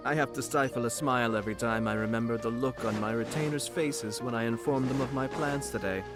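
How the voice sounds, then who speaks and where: Brief: actor as a haughty lord pleased with himself; heavy score behind. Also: male voice, medium pitch, a little fast, close to an everyday voice. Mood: smug. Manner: self-satisfied, haughty. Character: smug nobleman with retainers. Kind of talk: game voice acting. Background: loud game music.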